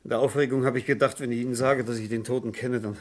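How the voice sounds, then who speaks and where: An actor playing an evasive, nervous insurance agent under questioning in a radio crime drama, halting and apologetic in his speech. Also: medium pitch, steady tone, lightly acted.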